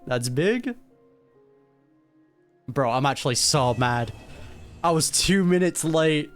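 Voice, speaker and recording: A man's voice: a little high, animated, takes it slowly. A man giving informal, slangy reaction commentary as a gaming streamer. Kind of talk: casual playthrough reaction commentary. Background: game music.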